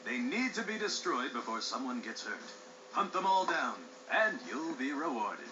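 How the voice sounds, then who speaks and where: A man's voice: mid-range voice, very animated, moderate pace, noisy background, natural voice. Man as a flamboyant, swooping TV race host. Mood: slick, sly. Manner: grandiose, hammy, sly. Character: flamboyant, vain male racing show host. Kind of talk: showy announcer acting in game cutscene. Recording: dull game audio with music behind.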